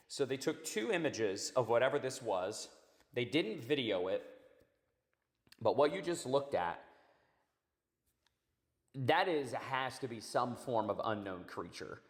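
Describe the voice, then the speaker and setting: A man hosting a channel, talking casually and rambling over clips, with a raspy voice that is hoarse from a recent illness. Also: medium pitch. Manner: rambling, laid-back, says dude and man. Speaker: channel host. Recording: hollow tunnel-like echo.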